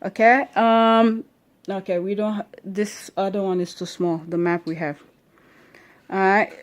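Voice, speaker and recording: Slow, patient accented woman teaching at home. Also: medium pitch, fairly even intonation. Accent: non-standard English accent. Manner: checks understanding with frequent "okay?" and questions; deliberate, stretches key words while reading. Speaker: parent teaching her children at home. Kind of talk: reading a history book aloud and explaining it.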